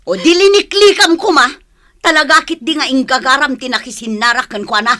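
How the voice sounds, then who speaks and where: A woman's voice: sits high, brisk, bright, forceful tone. An actress playing a scolding, disapproving middle-aged mother in a radio drama. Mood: angry, nagging.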